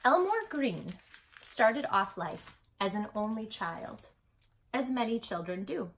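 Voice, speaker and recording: A woman's voice: fairly high pitch, very animated, slow, slight background noise, poor audio. A woman hosting a read-aloud for young children, speaking affectionately and expressively, with emphatic storyteller phrasing addressed straight to the listener.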